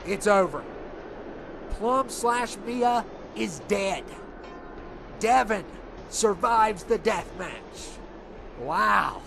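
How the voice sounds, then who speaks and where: A man with a stuffed-up nose doing rambling, excitable play-by-play for a video game wrestling show. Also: sits high, extremely slow, creaky, pauses often, background noise. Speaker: commentator.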